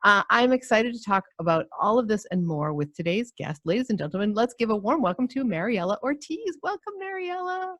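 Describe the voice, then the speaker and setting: A woman's voice: a little high, big pitch swings, fairly quick, few pauses, fairly bright tone, no echo. A middle-aged woman hosting a podcast, chatty and enthusiastic, rambling a bit as she interviews her guest. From United States. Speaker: podcast host.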